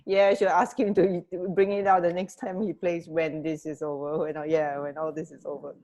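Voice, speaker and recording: A woman co-hosting a casual radio chat, speaking in a halting way and repeating words as she searches for phrasing. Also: lowish voice, flat delivery, dark tone. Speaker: radio co-host.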